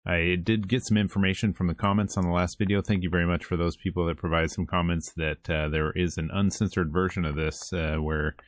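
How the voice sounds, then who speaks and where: A man's voice: sits low, little pitch movement, fairly quick, hardly any pauses, dry recording. A man hosting a let's play, reading visual novel text aloud with playful, casual asides. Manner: playful, casual asides between read lines. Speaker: host of a gameplay video series.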